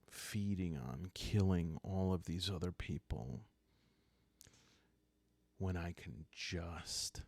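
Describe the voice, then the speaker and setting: A man voicing a bitter, vengeful vampire vagabond in a tabletop story, speaking in a deliberate, quietly threatening way. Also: sits low, no room sound, heavily acted. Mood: cold resolve.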